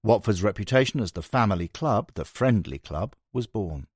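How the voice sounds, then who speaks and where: A man with a deep voice narrates a sports history audiobook. His intonation moves around a lot, keeping the scripted reading animated. The sound is dry, with very little room in it. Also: normal speed, husky, dry recording.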